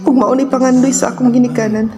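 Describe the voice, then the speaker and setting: A woman's voice: fairly high pitch, steady tone, fast, dark tone, soft background music, close to an everyday voice. A woman playing a sensible young student girlfriend in an amateur radio drama. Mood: worried, firm.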